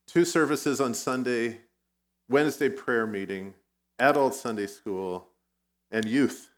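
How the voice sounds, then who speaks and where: A middle-aged man who grew up in Vietnam, a guest pastor giving a conversational sermon with personal stories, now and then clearing his throat. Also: medium pitch. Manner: conversational, self-deprecating storytelling.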